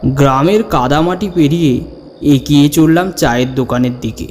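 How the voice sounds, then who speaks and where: A man narrating a scripted ghost story in a dramatic, deliberate way, with measured pauses. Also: a little high, a little mellow, slight background noise. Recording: long reverb over the voice. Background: background music.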